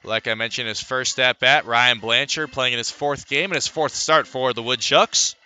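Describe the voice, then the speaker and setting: A man's voice: mid-range voice, moderate pace, bright, forceful tone, smooth, faint music behind. An American man calling a baseball game as a live radio play-by-play broadcaster. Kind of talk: live radio sports commentary.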